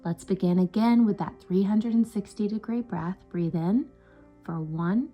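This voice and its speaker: A woman meditation coach leading a guided breathing session in a soothing, unhurried, instructional way. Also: mid-range voice, lo-fi sound. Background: meditation music.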